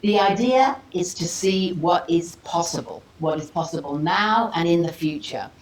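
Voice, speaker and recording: A woman's voice: fairly low pitch, normal speed. A woman welcoming an audience as host of an academic symposium, speaking informally and conversationally with casual asides. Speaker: symposium host giving opening remarks. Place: seminar room with audience. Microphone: worn microphone. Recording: roomy echo, audible background noise.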